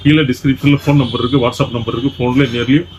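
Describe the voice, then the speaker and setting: A man with a Tamil Nadu accent giving an emphatic, repetitive product sales pitch, dropping in English words as he presents. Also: steady tone, quick pace, darkish tone. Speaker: shop presenter selling electronics. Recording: dull lo-fi sound with faint background noise.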